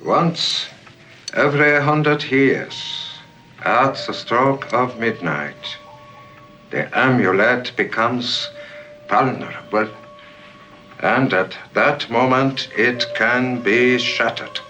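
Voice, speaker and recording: An actor playing a grave older man in a film, solemn and portentous, speaking in measured phrases. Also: medium pitch, sharp tone, muffled recording. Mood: solemn, ominous. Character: grave older German man explaining an ancient prophecy. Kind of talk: dramatic film dialogue. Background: music.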